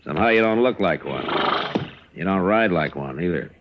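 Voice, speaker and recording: A watchful old west lawman, deep voice, curt and bossy, muffled old radio drama sound. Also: animated, moderate pace. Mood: probing, skeptical. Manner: terse, commanding, skeptical. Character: stern, watchful frontier lawman questioning a stranger. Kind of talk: 1950s western radio drama acting. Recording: dull, noisy old radio recording.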